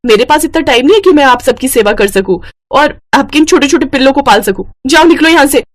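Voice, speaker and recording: A woman voicing a scheming, haughty young model in a family drama story, with sharp, scornful delivery. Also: high voice, animated, quick pace, voice pushed up. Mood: angry, scornful. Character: scheming young female model, haughty and selfish when cornered.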